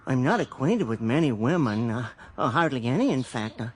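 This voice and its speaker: Stammering, timid American salesman, lively pitch swings. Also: brisk, slightly rough. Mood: nervous, apologetic. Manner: stammering, hesitant, rambling. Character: timid, apologetic, awkward mild-mannered failed salesman. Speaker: actor in a radio drama. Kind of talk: radio drama acting.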